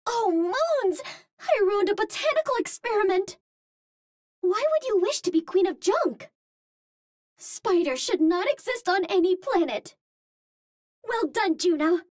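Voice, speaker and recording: Very high-pitched, cute young space heroine. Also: female voice, expressive, a little slow, pauses often, darkish tone. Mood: earnest, bright. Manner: precise, formal phrasing without contractions. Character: cute, earnest young female Martian space heroine, polite and upbeat. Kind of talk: video game character voice lines.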